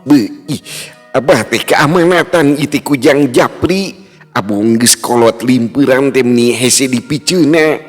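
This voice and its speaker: A man's voice: mid-range voice, voice pushed up, natural voice. A man voicing a forgetful old village man who rambles and corrects himself. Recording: reverb and faint music.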